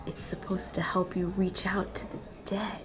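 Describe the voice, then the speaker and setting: A woman's voice: lowish voice, little pitch movement, normal speed, whispered, close to an everyday voice. A woman playing an earnest, uneasy young college student drawn to the occult, acting and narrating in an audio drama.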